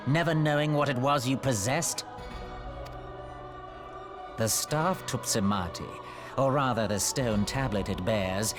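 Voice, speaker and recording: Loud music swells behind a haughty game villain, an immortal dark sorcerer played by a man. He speaks at a stately, drawn-out pace in ornate old-fashioned phrases. Every line is theatrical and condescending, as from a schemer above lesser beings. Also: mid-range voice, close to an everyday voice. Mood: scornful, lecturing. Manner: theatrical, condescending, archaic formal diction. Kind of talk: video game voice acting.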